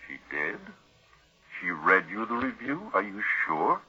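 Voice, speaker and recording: An actor playing a measured, probing family doctor in an old-time radio mystery. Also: male voice, on the low side, sing-song, pushed and bright, breathy edge, heavily acted. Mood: suspicious. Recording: muffled old radio recording.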